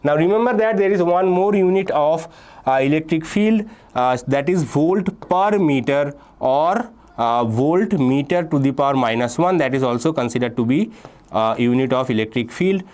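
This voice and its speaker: A man's voice: on the high side, expressive, normal speed, darkish tone. A young man from India teaching a live online physics exam-prep class, reading student answers from chat and repeating key points between "uh"s and "okay"s. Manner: explains step by step, reads student names and answers from chat.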